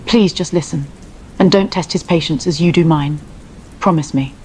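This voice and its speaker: An actress playing a stern, worried queen mother in an audio drama, admonishing and pleading in a hushed manner. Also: sits low, moderate pace, a little hiss or hum, natural voice, poor audio. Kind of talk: dramatic scripted audio acting.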